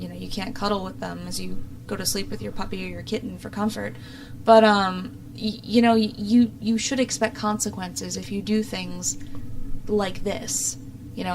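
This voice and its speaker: Young woman commentator, noticeably noisy recording. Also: mid-range voice. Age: young adult. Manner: exasperated, sarcastic. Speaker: talk show host. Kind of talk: opinionated casual political commentary.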